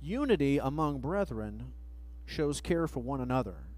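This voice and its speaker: A middle-aged man preaching a sermon at a church pulpit mic, reading scripture slowly and letting phrase ends trail off. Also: mid-range voice, big pitch swings, darkish tone, poor audio. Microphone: pulpit microphone, far camera.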